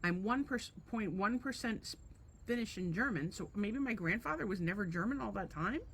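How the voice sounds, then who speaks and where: A woman's voice: medium pitch, lively intonation, moderate pace, a bit bright, slight background noise. Blunt, rambling woman podcast co-host. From Portuguese descent from the Azores. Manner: blunt, swears freely, rambling. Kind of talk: casual chat.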